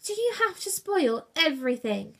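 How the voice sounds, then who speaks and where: A woman voicing a stubborn, game-obsessed older brother animal in a children's story, petulant and loud. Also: high voice, normal speed, vocal fry, slightly rough, exaggerated voice. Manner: growly, petulant, loudly excitable.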